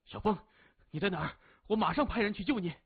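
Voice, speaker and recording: Man playing a loud, frantic gangster father. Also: high-pitched, expressive, fast talker, slightly rough, pitched above the performer's own voice, exaggerated voice. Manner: loud, urgent, blustering. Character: frantic, furious middle-aged gangster father. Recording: thin, narrow phone-like audio.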